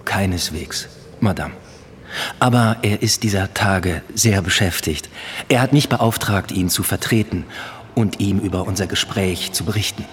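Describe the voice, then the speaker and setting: An actor playing a guarded, evasive company executive with a formal, measured manner in a radio drama. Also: male voice, deep voice, long reverb tail. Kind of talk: radio drama acting with murmured inner monologue.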